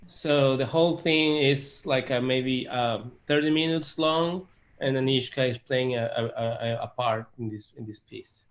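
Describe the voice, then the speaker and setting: Halting man, searching for words. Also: mid-range voice. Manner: hesitant, many fillers, searching for words. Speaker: composer, guest. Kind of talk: casual interview talk.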